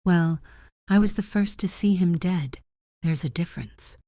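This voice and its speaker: An actress playing a world-weary, guarded nightclub singer in a noir radio drama, wry and cool. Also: female voice, fairly low pitch, measured pace, dark tone, dry recording, clean studio sound. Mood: defiant. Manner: wry, cool, hard-edged.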